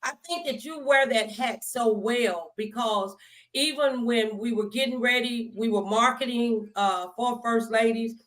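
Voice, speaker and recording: A woman's voice: fairly high pitch, measured pace, fairly bright tone, poor audio. A woman hosting an upbeat talk show interview, speaking in an effusive, encouraging and colloquial way.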